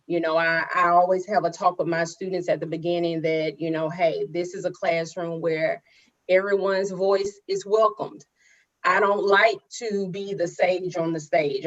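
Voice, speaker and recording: A woman from Alabama, a high school English teacher, speaking earnestly on an education panel with frequent pauses. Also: muffled recording. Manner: earnest, measured, frequent um and you know. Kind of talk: panel discussion.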